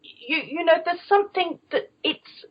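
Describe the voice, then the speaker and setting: A woman's voice: very high voice, animated, normal speed, dull audio. A middle-aged British woman speaking as an interviewed voice coach, explaining conversationally and checking that she is understood. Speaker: guest voice coach, former opera singer.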